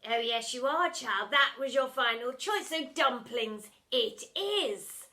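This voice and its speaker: A woman's voice: fairly high pitch, expressive, slow pace, pushed and bright, heavily acted. A woman voicing a villainous old dinner lady in a children's story, mock-sweet and gloating, stretching out her words. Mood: menacing glee. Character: villainous old dinner lady, one-eyed, false teeth, gleefully menacing.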